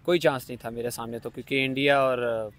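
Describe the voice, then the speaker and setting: A man's voice: mid-range voice, steady tone, fast talker, fairly bright tone. A man answering interview questions casually and with assurance.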